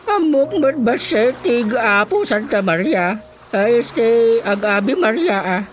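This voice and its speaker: A woman's voice: on the high side, big pitch swings, slow, a little hiss or hum. An actress playing a chatty, nervous village woman in a radio comedy drama, speaking with an Ilocano Filipino accent.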